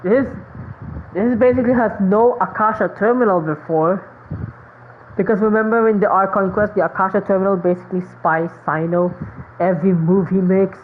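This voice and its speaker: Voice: medium pitch, steady tone, moderate pace. Dull-sounding, casual gaming streamer. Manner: rambling, reactive. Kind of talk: casual game playthrough commentary. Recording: dull lo-fi mic, game noise behind.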